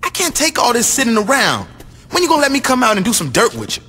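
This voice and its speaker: An actor playing a cocky, aggrieved young gangster sidekick, complaining in slang, in a video game cutscene. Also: male voice, extremely high pitch, huge pitch swings, fast, bright, forceful tone, long reverb tail, slight background noise, full character voice. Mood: aggrieved, pleading.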